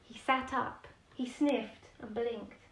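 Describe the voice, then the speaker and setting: A woman's voice: fairly high pitch, steady tone, slow, a bit bright. A woman reads a children's bedtime story expressively, with chatty explanations and questions for young listeners. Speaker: storyteller reading a children's picture book. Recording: roomy echo, distant microphone.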